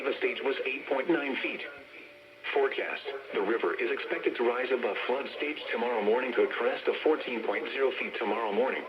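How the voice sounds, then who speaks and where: A computerized male voice delivers emergency bulletins over weather radio. The delivery is formal and impersonal, broken into clipped segments at a medium pitch. The sound is muffled and low fidelity, as from a small radio speaker. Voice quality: synthetic text-to-speech voice. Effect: weather radio broadcast. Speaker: automated weather radio announcer. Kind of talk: scripted emergency bulletin readout.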